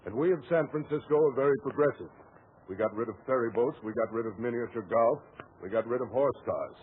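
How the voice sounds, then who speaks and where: An actor playing an earnest, blunt political messenger in a 1940s radio comedy. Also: male voice, medium pitch, fast, slight background noise, natural voice. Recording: tinny vintage 1940s radio recording.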